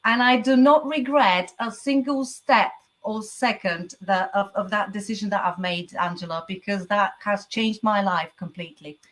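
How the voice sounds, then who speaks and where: A woman's voice: mid-range voice, normal speed, few pauses, fairly bright tone, poor audio. A woman in her forties from the UK, a guest telling her story in a casual chat, chatty, with frequent laughs and some stammering.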